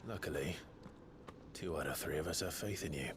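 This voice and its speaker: A man's voice: rumbling low, a little fast, background noise. A man playing an earnest, brooding young swordsman in a fantasy video game cutscene. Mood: quiet reassurance.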